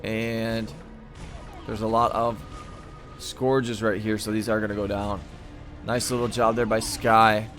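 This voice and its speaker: A man's voice: fairly low pitch, flat delivery, unhurried, long pauses, fairly bright tone, faint music behind. A man giving live commentary on a real-time strategy match in an easygoing, thinking-aloud way, and he is losing his voice. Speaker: game caster.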